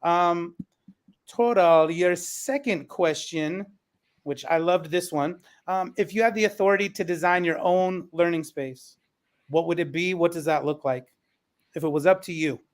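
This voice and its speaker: A man's voice: fairly high pitch, measured pace. A man hosting a panel discussion and talking in a conversational style as an educator.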